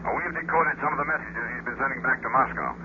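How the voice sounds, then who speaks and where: An actor playing a gruff, exasperated launch base colonel in a 1950s radio drama, blunt and authoritative. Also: male voice, mid-range voice, fast, natural voice. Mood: sober. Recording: dull, noisy 1950s radio recording.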